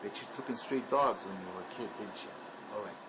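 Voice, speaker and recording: An actor playing a gruff, hard-nosed man on the run, sarcastic and bossy, in dramatic dialogue. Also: on the low side, quick pace, background noise, natural voice. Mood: grudging.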